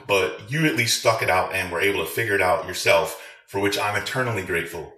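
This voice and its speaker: A man's voice: sits low, bright, forceful tone, roomy sound. A man delivering a profane, sarcastic rant to his viewers as a veteran and video creator. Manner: crude, sarcastic, swearing.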